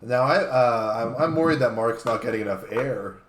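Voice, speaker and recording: A man in casual joking banter as a co-host, with deadpan teasing. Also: medium pitch, normal speed, a crowd in the background. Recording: roomy, clear background noise.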